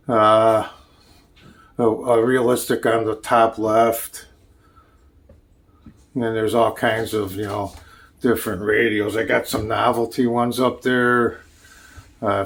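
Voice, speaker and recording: A man with a fairly low voice and a gravelly fry gives an informal tour of his collection. He talks slowly and haltingly, feeling for names as he goes. The delivery is unscripted and casual, like a hobbyist showing things off. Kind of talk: casual show-and-tell narration.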